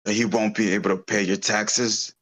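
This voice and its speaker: A man's voice: on the low side, flat delivery, normal speed, a bit bright, breathy edge. A man playing a crude, resentful poor commoner in a screenplay table read. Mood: sardonic.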